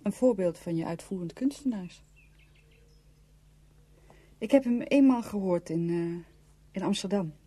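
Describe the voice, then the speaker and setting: An actress playing a composed, cultured, quietly attentive woman in a radio play, measured and understated. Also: mid-range voice, expressive, husky, dry recording. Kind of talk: Dutch radio play acting.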